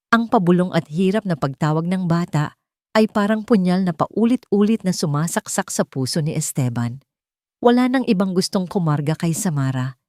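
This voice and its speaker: A woman's voice: sits low, warm tone, no echo, clean studio sound. A woman storyteller delivering dramatic scripted narration of a love story.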